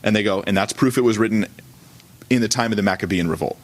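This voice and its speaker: A man's voice: fairly low pitch, fast talker. A man who is a pastor, teaching an informal sermon in a conversational, colloquial manner, often correcting himself as he goes. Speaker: pastor teaching a church service.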